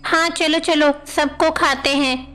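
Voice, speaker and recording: A woman's voice: high-pitched, steady tone, slow pace, fairly bright tone, faint music behind. A woman voicing an innocent, eager young divine boy in devotional storybook dialogue. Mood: delighted. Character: young divine brothers, eager hungry little boys.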